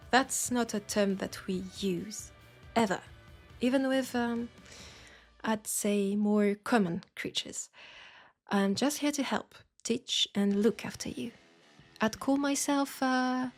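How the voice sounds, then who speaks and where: A woman with a French accent playing a teasing, nurturing witch who tames werewolves, chatting playfully and coaxingly to the listener in an audio roleplay. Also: medium pitch. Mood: amused, teasing. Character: teasing, nurturing female witch, self-styled werewolf tamer.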